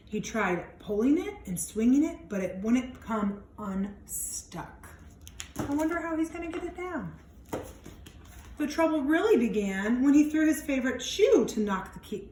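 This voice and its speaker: Woman, fairly high voice, expressive children's storyteller, drawn-out emphasis, chatty asides, slightly noisy room. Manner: animated, drawn-out emphasis, wondering aloud to young listeners. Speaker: storyteller reading a picture book to children. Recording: echoey room with some background noise.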